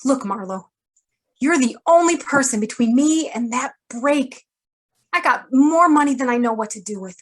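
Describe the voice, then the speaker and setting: A shrewd woman of secrets from a hard-boiled radio drama played by an actress. Her voice is a little high. She delivers her lines in a measured, dramatic, knowing manner. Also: expressive. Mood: resigned, bargaining. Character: shrewd, wry woman publisher hiding a killer's past. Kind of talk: noir radio drama acting.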